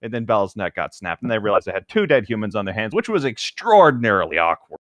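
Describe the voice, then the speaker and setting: A man's voice: fairly low pitch, very animated, quick pace, fairly bright tone, no echo. Man in casual comic banter, close dry sound. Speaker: podcast co-host reading a novel aloud with commentary. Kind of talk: casual comedic read-along banter.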